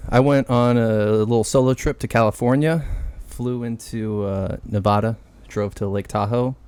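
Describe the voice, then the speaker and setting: A man's voice: medium pitch, fairly even intonation, moderate pace, a little mellow, clear, resonant tone, dry recording. A young man from Texas co-hosting a podcast, telling a loose, profane story in casual chat with lots of filler words. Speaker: podcast co-host.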